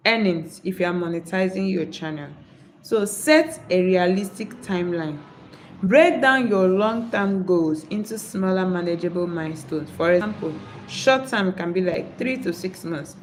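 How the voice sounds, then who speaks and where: A woman's voice: lowish voice, moderate pace, a little hiss or hum. A woman from Nigeria with a Nigerian accent, English not her first language, hosting a live video of channel tips, reading points aloud and then explaining them. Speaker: YouTube creator. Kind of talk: live-stream tips talk.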